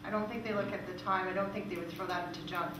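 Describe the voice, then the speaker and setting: A woman's voice: mid-range voice, fairly even intonation, very fast. A woman giving conversational explanations in an informal question-and-answer presentation. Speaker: presenter from a royalties organisation. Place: echoey room at a live meeting. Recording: very echoey room, distant mic, noisy.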